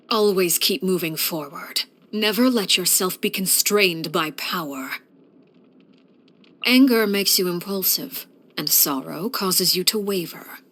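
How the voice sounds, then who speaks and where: A commanding woman from a video game, with a lowish voice. She is cold and composed, choosing formal words with restraint, and carries a quiet menace in measured monologues. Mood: stern, composed.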